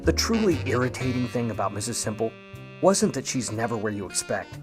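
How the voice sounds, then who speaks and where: An actor playing a grudging, wry, world-weary 1950s Hollywood private eye, narrating in old-time radio noir style. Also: male voice, mid-range voice, very animated, a little fast, loud music behind the voice, natural voice. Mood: musing, wry.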